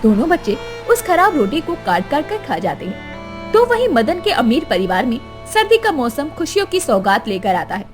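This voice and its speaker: A woman's voice: sits high, big pitch swings, moderate pace, music in the background. A woman narrating a story in a dramatic, scripted storytelling style.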